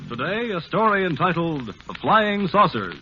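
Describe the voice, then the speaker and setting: A man's voice: sits high, big pitch swings, moderate pace. A man announcing and narrating a 1950s radio drama in a theatrical, declamatory manner. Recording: dull vintage 1950s radio recording. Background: loud music.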